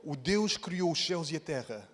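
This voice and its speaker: A man's voice: fairly high pitch, expressive, a little fast, a bit bright. Portuguese-accented male guest preacher, playful and exclamatory, long church reverb. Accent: European Portuguese. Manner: playful, exclaiming, talks straight to the congregation. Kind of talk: lively sermon opening. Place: large church hall.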